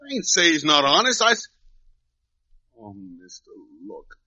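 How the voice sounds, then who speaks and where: An actor playing a wrongly jailed young drifter in a radio drama, with plain folksy, uneducated speech, pleading and resigned. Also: male voice, a little high, huge pitch swings, a little slow, pushed and bright, no room sound, heavily acted. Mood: anguished, pleading. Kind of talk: 1950s radio drama acting. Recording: dull lo-fi old radio recording.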